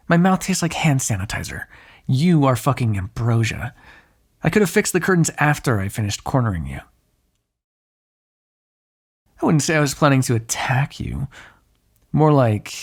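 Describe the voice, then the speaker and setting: A man performs a cocky, insatiable male vampire in an ASMR roleplay. His voice sits at an average pitch for a man. He talks in a casual, sarcastic way, needling and flirting with an unseen partner and swearing freely. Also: creaky, a little mellow. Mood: playful, wheedling. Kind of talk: ASMR roleplay acting, one side of a dialogue.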